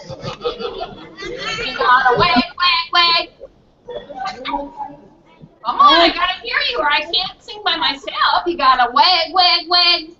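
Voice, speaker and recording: A woman's voice: very high voice, lively intonation, takes it slowly, long pauses. A woman playfully and encouragingly leading children through an activity over a video call. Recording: dull, lo-fi video call with noise.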